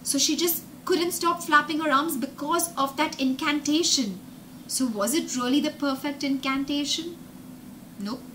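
A young woman telling a story as a children's teacher, dramatic and emphatic, pausing to explain words with synonyms. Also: high voice, sharp tone, a little hiss or hum, rough recording. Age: young adult. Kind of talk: animated storytelling lesson with explanations. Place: indoor room with glass-fronted bookshelves.

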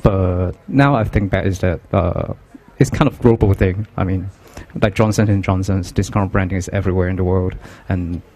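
A young man from Hong Kong with a Hong Kong accent, Cantonese being his first language, speaking as a guest artist in an interview at an art fair booth, halting and hesitant, on a handheld mic. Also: low voice, steady tone. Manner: hesitant, halting, soft-spoken. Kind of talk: casual interview talk.

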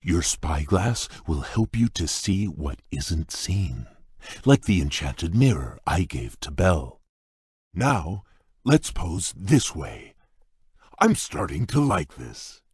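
An actor playing a large, shy, polite beast prince in a video game, speaking in a courteous, formal, earnest way with a husky voice. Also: male voice, very animated, slow, darkish tone, no echo, clean studio sound, exaggerated voice. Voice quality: deep husky voice. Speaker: game character. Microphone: dry close studio mic.

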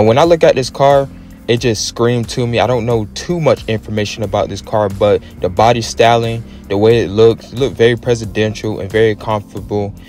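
Low-pitched American man, casual car host. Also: darkish tone. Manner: enthusiastic, informal slangy talk. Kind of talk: casual car review commentary. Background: background music.

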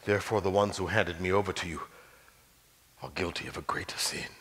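A man's voice: sing-song, takes it slowly, creaky, in a large hall, exaggerated voice. A man whispering as a grave, suffering man. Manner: low, hushed, near whisper. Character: calm, suffering grown man, quiet and grave.

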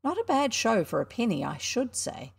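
A woman's voice: on the high side, huge pitch swings, normal speed, vocal fry, slightly rough, pitched above the performer's own voice, heavily acted. A woman doing a boastful schoolboy showman. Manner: loud, cocky, indignant.

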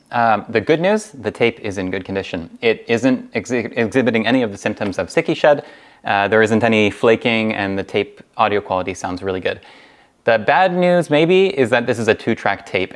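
Young adult male host, lowish voice, informal how-to delivery, thinking out loud. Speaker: hobbyist host demonstrating tape transfer. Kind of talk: casual tutorial vlog talk. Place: small furnished rooms at home, indoors.

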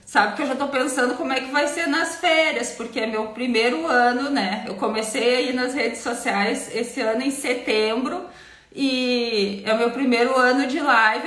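A woman's voice: high-pitched, a bit bright, reverberant. A woman, a family lawyer with a cold, answering viewer questions on a live stream in a chatty, simple way, often ending points with a tag question. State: has a cold, nearly voiceless. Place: home office with bookshelves, indoors.

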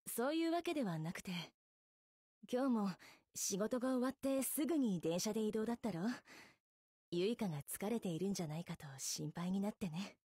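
Casting: princely, gentle young woman idol for a game. Breathy, relaxed tone, courteous delivery, dry studio sound. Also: mid-range voice, a little fast, no echo. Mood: gentle concern. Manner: polite, chivalrous, gently reassuring. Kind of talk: Japanese mobile game visual novel acting.

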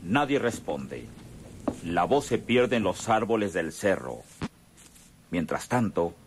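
A man's voice: low-pitched, big pitch swings, slow, husky. A man giving dramatic scripted narration for a radio serial.

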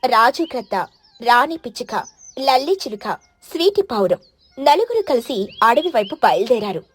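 A woman's voice: a little high, very animated, normal speed, breathy edge. A woman storyteller reading a scripted children's moral story as narration.

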